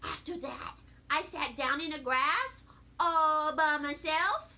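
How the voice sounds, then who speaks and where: Very high, bright woman reading a storybook. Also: slow pace, slight background noise. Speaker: storybook reader. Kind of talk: expressive children's book narration.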